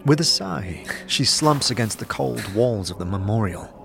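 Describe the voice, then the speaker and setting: A man's voice: on the low side, animated, moderate pace, gravelly. A man narrating a scripted fantasy audio drama in the second person, in a descriptive storyteller's manner. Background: music.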